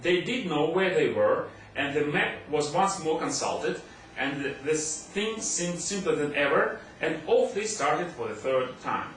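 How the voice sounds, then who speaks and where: A man's voice: a little high, expressive, a little slow, a bit bright. A man reading a humorous classic story aloud as scripted audiobook narration. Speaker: audiobook reader. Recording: very echoey room, distant mic, faint noise.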